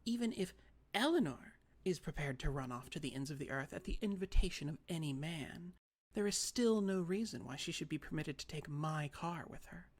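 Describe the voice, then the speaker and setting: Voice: mid-range voice, animated, moderate pace, hardly any pauses, close to an everyday voice. An audiobook reader voicing a prim, disapproving, self-righteous sister with a lifted, precise voice. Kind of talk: audiobook character voice.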